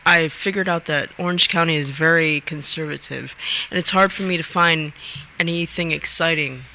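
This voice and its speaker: A woman's voice: deep voice, moderate pace, bright, forceful tone, a little hiss or hum, low-quality recording. A woman in her late twenties, from Finland, answering a radio host's questions in a hesitant way, full of ums and restarts. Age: late 20s. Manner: hesitant, many fillers and restarts. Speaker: radio guest. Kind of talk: casual radio interview.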